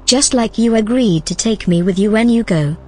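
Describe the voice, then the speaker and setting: A woman playing a calm, helpful, gently teasing artificial intelligence in a naturalistic audio drama. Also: medium pitch, normal speed, husky, faint music behind, natural voice. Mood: earnest. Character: calm, obliging, playful female artificial intelligence.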